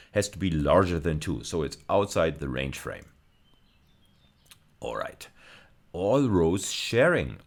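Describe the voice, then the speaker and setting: A man giving an explanatory university lecture, methodical and repeating phrases for emphasis. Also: very deep voice.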